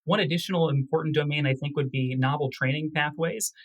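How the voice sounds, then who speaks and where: A man speaking as a physician researcher in a medical interview, with formal, technical and measured phrasing. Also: fairly high pitch, poor audio.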